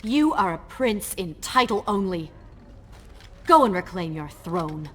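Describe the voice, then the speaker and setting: A woman's voice: fairly low pitch, lively intonation, unhurried, fairly bright tone, big-room sound. A woman playing a proud young warrior in a video game, dramatic, stern and accusing. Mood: bitter.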